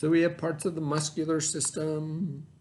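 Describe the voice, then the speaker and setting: A man teaching by reading aloud to pupils, pausing to explain in casual asides and check that they follow. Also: a little high, flat delivery, a little mellow, a little hiss or hum. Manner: pauses to explain, checks in with "okay?".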